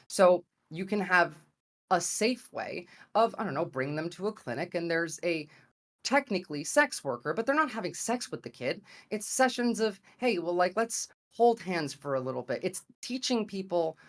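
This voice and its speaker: A woman with an American accent making a debate argument in a conversational way, sometimes searching for words. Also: fairly low pitch. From grew up in America. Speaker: debate guest. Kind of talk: argumentative debate opening statement.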